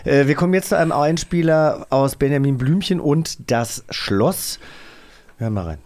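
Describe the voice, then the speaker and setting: A man's voice: on the high side, moderate pace, darkish tone, no room sound. A man hosting a quiz in casual chat. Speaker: host.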